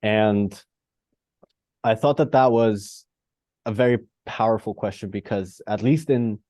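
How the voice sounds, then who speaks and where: A man's voice: lowish voice, measured pace, mellow, no room sound. A man from Costa Rica speaking in an online discussion. He thinks aloud and wanders, with lots of fillers and restarts. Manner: rambling and searching, many fillers and restarts. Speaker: participant. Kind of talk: reflective discussion on a video call. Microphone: video call.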